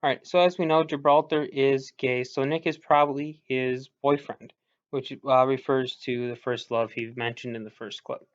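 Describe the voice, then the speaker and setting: Male game commentator, mid-pitched, informal and a little hesitant, explaining as he thinks aloud. Muffled lo-fi sound. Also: fairly even intonation, moderate pace. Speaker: gaming video commentator. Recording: dull lo-fi recording.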